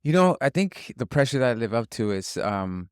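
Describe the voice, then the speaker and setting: A man from Northern California speaking as a chef in a casual interview, in an earnest, reflective and halting way. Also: mid-range voice, big pitch swings, clean tone, no echo, studio quality. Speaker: chef and restaurant guest.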